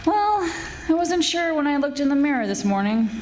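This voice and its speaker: A woman's voice: sits high, normal speed, slight background noise, poor audio. A woman playing a wry, breezy female private eye who narrates in 1940s radio detective style. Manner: wry, breezy, flirtatious deadpan. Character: wisecracking glamorous female private eye, former model. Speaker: radio theatre actor. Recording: live stage recording with music.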